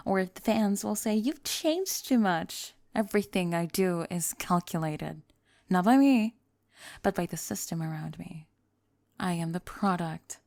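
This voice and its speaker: A woman plays a young female pop star in an intimate audio roleplay. Her voice sits fairly low with a crackly fry, recorded very close and dry. She confides candidly, a little nervous and worn by fame, yet kind and playful, often softening to a murmur. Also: no echo. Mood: reflective. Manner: confiding, hesitant, playful, trailing into soft murmurs. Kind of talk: intimate ASMR roleplay audio, one-sided date conversation.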